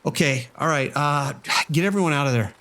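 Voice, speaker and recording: An actor playing a stressed casino security boss taking charge in a horror audio drama. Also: male voice, fairly high pitch, fairly quick, a bit bright, a little hiss or hum, natural voice. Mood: worried.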